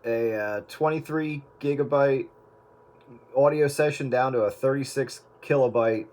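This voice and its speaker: A man's voice: mid-range voice, unhurried, a little mellow. A man hosting a casual chat, explaining technical points as an audio engineer would.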